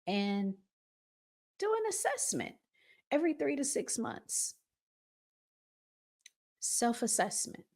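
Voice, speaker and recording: A middle-aged woman giving conversational relationship advice as a vlog host, emphatic, repeating phrases and asking rhetorical questions. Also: medium pitch.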